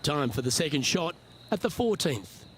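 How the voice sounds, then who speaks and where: A man's voice: fairly high pitch, big pitch swings, moderate pace, fairly bright tone, a little hiss or hum. A man voicing a composed golf broadcast anchor in a video game, calling play as lead commentator. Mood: composed, upbeat.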